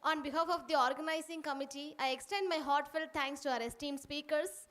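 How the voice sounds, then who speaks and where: Woman organizer thanking the speakers, slightly high voice, polite and formal. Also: brisk, a bit bright. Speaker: conference organizer.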